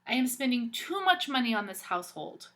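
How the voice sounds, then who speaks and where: A woman's voice: on the high side, big pitch swings, fairly quick, fairly bright tone, voice pushed up. A woman reading aloud and voicing a cold, haughty stepmother who gives icy commands in a storybook. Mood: commanding.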